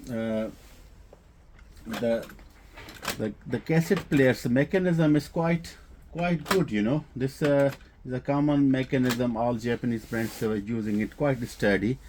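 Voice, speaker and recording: Low-voiced, halting man, non-native English speaker. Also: darkish tone, slight background noise. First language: not English. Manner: hesitant, halting phrasing with frequent filler sounds. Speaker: host of a hobby audio equipment channel. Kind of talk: casual product showcase vlog.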